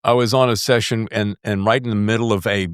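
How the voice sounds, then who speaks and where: An American man with a deep, bassy voice, hosting a podcast in an effusive, emphatic and jokey way. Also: steady tone, a little fast, clear, resonant tone. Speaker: podcast host. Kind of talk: enthusiastic podcast intro and casual interview chat.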